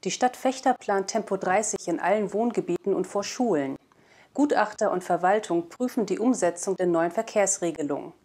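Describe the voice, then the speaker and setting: A young woman reads scripted news as a presenter. Her voice sits at a medium pitch and is picked up by a lapel mic in a studio. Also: normal speed, darkish tone. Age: young adult. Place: indoor studio.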